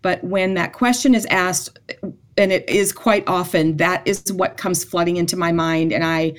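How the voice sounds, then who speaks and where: Woman, 40s, lower voice, casual podcast guest, sincere storyteller who restarts often. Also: steady tone, normal speed. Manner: heartfelt storytelling with frequent restarts. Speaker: events ministry guest.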